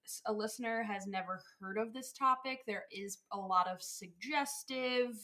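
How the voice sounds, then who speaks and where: Animated hungover young woman, podcast co-host. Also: a little high, normal speed. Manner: animated, filler-heavy rambling. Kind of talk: casual chat.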